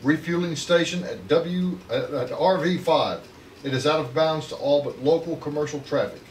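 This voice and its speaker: A man's voice: on the high side, moderate pace, close to an everyday voice. Scheming space villain, read by a man, echoey. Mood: businesslike, scheming. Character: cold scheming male villain radioing attack orders. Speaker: actor at a script table read. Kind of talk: retro space adventure script read-through. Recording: echoey room, distant mic, faint noise.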